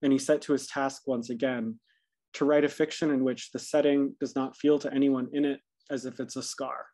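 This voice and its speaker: Flat-toned man reading literary work. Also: mid-range voice, moderate pace. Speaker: poetry reader.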